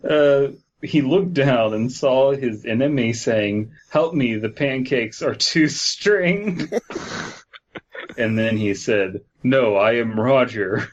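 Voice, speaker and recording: Man, podcast host, unhurried pace, deadpan riffing, casual banter, somewhat dull recording. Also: mid-range voice. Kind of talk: casual comedic banter, reading stories aloud.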